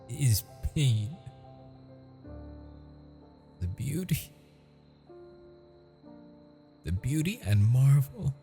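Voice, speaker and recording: A man playing a lonely, tender ghost lover in a romantic ASMR roleplay, speaking haltingly and intimately in a breathy, husky voice. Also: medium pitch. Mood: grieving, numb. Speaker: audio roleplay performer. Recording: long reverb with music behind.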